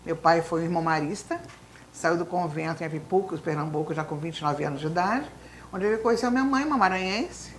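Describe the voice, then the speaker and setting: A woman's voice: on the low side, fast talker, a little hiss or hum. A woman in her fifties whose native language is Spanish, telling a long story of her childhood as an interview guest, with frequent fillers like "né". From Brazil. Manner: long storytelling, lots of fillers like né and então, assim. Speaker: retired professor, interview guest. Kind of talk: reminiscing life story in an interview.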